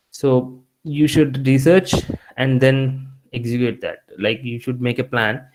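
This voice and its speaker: A man's voice: medium pitch, rough recording. A man, a Telugu speaker, giving conversational career advice to engineering students and often restarting his sentences. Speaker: guest speaker.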